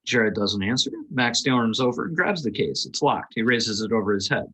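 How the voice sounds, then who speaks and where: A man's voice: medium pitch, expressive, moderate pace. A man reading scripted stage directions aloud as narrator at a table read.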